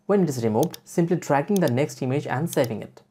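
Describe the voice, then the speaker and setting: A woman presenting a software tutorial as a step-by-step voice-over. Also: deep voice, expressive, quick pace, husky. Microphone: voice-over for screen recording.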